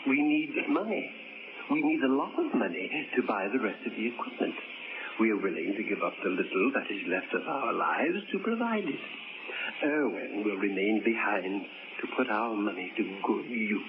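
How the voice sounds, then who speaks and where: A man's voice: fairly low pitch, sing-song, moderate pace. An actor playing a zealous old man who proclaims a reptile-ruled future, in grandiose, ominous tones, in 1940s radio acting style. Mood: calm. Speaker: eccentric old man planning to die. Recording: tinny noisy old radio broadcast.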